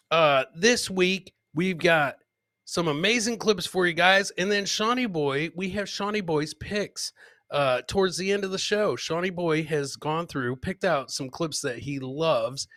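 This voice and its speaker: Bright, punchy male comedy livestream host. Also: fairly high pitch, dry recording. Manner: hyped, slangy, repeats phrases for emphasis. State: getting over a cold. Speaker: podcast host. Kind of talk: casual livestream comedy chat.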